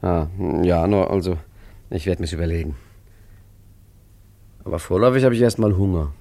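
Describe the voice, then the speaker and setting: Guy acting as a sly arty con man in a radio play, low voice, theatrical and ironic. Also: moderate pace, mellow, close to an everyday voice. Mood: cheeky, wry. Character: suave, cheeky male art forger and street painter. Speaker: narrator and lead actor.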